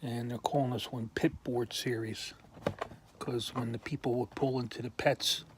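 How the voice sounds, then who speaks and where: A man slowly showing off his toy collection in a casual video, pausing often with ums and clearing his throat while losing his voice. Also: medium pitch, fairly even intonation, noisy background. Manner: slow, halting, many ums and uhs, frequent throat clearing. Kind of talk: casual show-and-tell.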